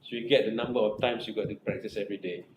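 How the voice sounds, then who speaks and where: Malaysian-accented man advising, low voice, echoey. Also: steady tone, very fast. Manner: earnest, repetitive, with frequent restarts and rhetorical "right?". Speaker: academic supervisor. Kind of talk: advising a research student in group supervision. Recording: echoey room with faint noise.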